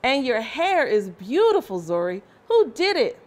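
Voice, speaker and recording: A woman voicing a delighted, admiring mother in a children's storybook, with expressive, gushing delivery. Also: on the high side, measured pace, voice pushed up. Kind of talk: children's storybook read-aloud.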